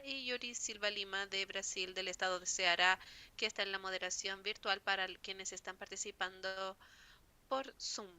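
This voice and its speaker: A woman's voice: medium pitch, sharp tone. A woman moderating a conference panel, delivering prepared remarks formally with occasional slips.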